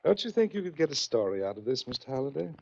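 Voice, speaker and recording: An actor playing a wealthy, smugly menacing yacht owner in a 1940s radio drama, taunting with theatrical pauses and drawn-out words. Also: male voice, medium pitch, very animated, vocal fry, darkish tone. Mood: slyly calm. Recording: muffled old-time radio recording.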